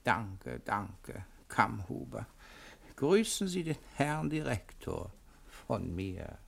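A man's voice: on the low side, sing-song, slow, gravelly, a bit bright, slightly rough, heavily acted. A man acting a grave, dignified senior judge, solemn and terse, in a 1960s German radio play. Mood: grave, curt.

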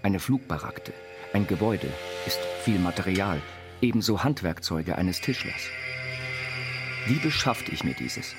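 An actor playing an earnest, visionary self-taught inventor, reciting philosophical writings in a literary radio play. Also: male voice, deep voice, expressive, a little slow. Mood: earnest, instructive. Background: music.

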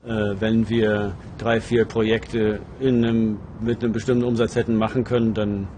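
A man talking off the cuff and reflectively in an interview, halting as he searches for words. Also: lowish voice, little pitch movement, warm tone, slight background noise. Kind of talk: spontaneous reflective interview talk.

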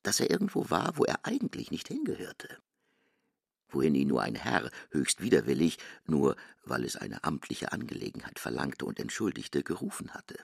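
A male narrator reads literary prose for a radio play. His voice is very deep with a gravelly fry, yet it swings widely in pitch. The recording is tight and dry. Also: wildly swooping pitch, moderate pace, no echo.

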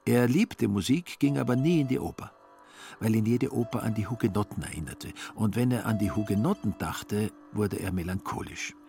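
A man narrating a literary radio play in a measured, dryly ironic way. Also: lowish voice, vocal fry, darkish tone, faint music behind.

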